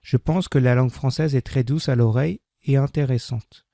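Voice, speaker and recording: A man with a dark, gravelly voice reads a beginner French text at a measured pace. He repeats each sentence with careful diction. The recording is dry and close, with no room sound. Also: medium pitch, no echo. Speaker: French language teacher. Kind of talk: slow scripted reading for learners.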